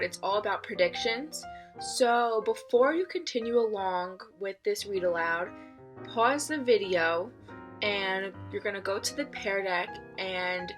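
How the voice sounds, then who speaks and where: Female educator, medium pitch, expressive and instructive storybook read-aloud for a class. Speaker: teacher reading a children's novel aloud.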